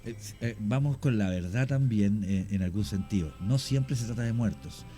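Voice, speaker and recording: Mellow-voiced Chilean man explaining at length. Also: fairly low pitch, normal speed, faint music behind. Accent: Chilean Spanish. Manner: long explanations, frequent fillers and restarts. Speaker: guest. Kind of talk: explanatory radio talk.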